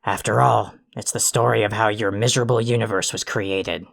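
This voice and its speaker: An actor in an audio drama playing an irritable, foul-mouthed, self-important alien troll who rants and insults in a gravelly voice. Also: medium pitch, steady tone, a little fast, background noise, natural voice. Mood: pompous, grumpy. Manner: ranting, insulting, pompously wordy.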